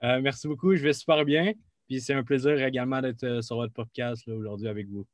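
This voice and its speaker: A man's voice: mid-range voice, steady tone, a little fast, no echo. Young Québécois man, guest athlete, hesitant, dry close recording. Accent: Québécois French. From Quebec. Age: young adult. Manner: hesitant, many fillers and restarts. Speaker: guest, college soccer player. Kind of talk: casual interview.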